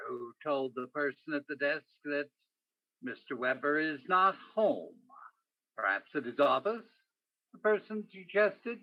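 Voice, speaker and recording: Terse male police detective, acted by a man, highish voice, swinging pitch, clipped radio-noir delivery. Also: lo-fi sound. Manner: clipped, theatrical second-person narration and blunt questioning. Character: terse male police detective narrating his own case. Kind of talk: old-time radio crime drama acting.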